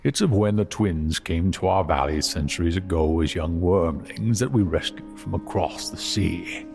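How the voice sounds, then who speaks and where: Deep, gravelly old gold dragon. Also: male voice, darkish tone, soft background music. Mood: fondly nostalgic. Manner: measured, halting, fond. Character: wise old male gold dragon mentor, gentle, reminiscing. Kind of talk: audio drama acting.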